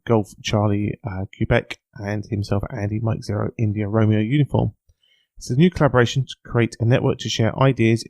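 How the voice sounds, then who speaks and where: British man presenting, halting and dry-miked. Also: on the low side, darkish tone, no echo. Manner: hesitant, halting delivery with frequent filler sounds. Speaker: podcast host and news presenter. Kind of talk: informal podcast news read and interview.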